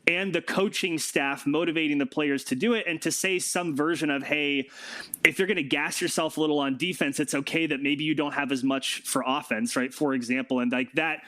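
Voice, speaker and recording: Male American podcast host, slightly high voice, casual sports chat, recorded close and dry. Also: steady tone, a little fast, fairly bright tone, no room sound.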